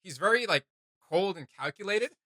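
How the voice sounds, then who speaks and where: Male reaction host, slightly high and very bright voice, enthusiastic, rambling casual delivery. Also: lively intonation, normal speed, no echo, clean studio sound. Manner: enthusiastic, rambling, thinking aloud.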